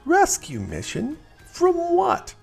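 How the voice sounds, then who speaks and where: Storybook mother dragon, acted by a man, high-pitched, motherly, warmly bossy, sing-song. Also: wildly swooping pitch, takes it slowly, creaky, faint music behind, heavily acted. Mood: doubtful. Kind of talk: children's storybook character voice.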